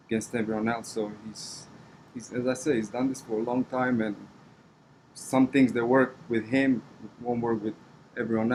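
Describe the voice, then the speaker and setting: A man with a Romanian accent giving conversational interview answers as a coach, halting and repeating words as he goes. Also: medium pitch, a little mellow, a little hiss or hum, low-quality recording. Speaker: wrestling coach.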